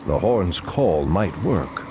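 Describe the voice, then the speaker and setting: A man's voice: low voice, very animated, takes it slowly, background noise, exaggerated voice. A man playing a stern, wise, ancient elven druid in a fantasy video game, grave and authoritative in his formal speech. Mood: concerned. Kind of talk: video game cutscene acting.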